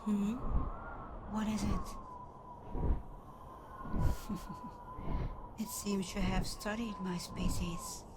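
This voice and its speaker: Slow, soft-spoken tsundere dragon woman, acted by a woman. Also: medium pitch, steady tone, lightly acted. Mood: candid, guarded. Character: tsundere female water dragon, proud, guarded but softening. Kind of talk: ASMR roleplay, scripted character monologue. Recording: clear background ambience noise.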